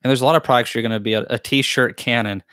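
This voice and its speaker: A man's voice: mid-range voice, fairly even intonation, quick pace, a bit bright, smooth, dry recording, studio quality. A man, hosting a live beekeeping Q&A from a small wood-paneled room, rambling and chatty with frequent restarts. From Tennessee, US. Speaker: beekeeping channel host. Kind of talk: live Q&A chat. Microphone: desk mic close to camera.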